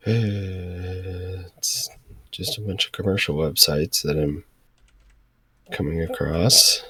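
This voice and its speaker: A man with a low voice, a little thick from being sick. He chats loosely on a beer show, rambling and full of filler words. The sound is close and dry. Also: steady tone, takes it slowly, pushed and bright, slightly rough, dry recording. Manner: rambling, hesitant, vamping with fillers. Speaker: podcast co-host. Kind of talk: casual chat.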